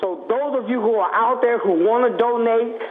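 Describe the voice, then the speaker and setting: Male phone-in guest, activist preacher, high voice, emphatic repetition, tinny telephone sound. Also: normal speed. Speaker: reverend and activist, radio guest. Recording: thin phone line with faint noise.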